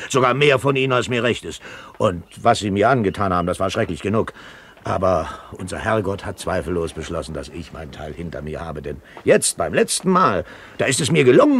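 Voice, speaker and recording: A man's voice: lowish voice, fairly bright tone, breathy edge, heavily acted. An actor playing a jovial, sly middle-aged one-legged ship's cook with folksy, wheedling seaman's patter in a radio play. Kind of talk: 1970s German radio play acting.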